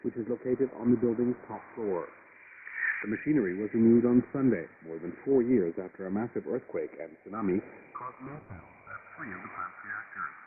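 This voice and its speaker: A man's voice: fairly low pitch, brisk. A man working as a news reader, delivering a scripted international news bulletin on shortwave radio. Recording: crackly, phone-like shortwave radio, loud static. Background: loud shortwave static and noise.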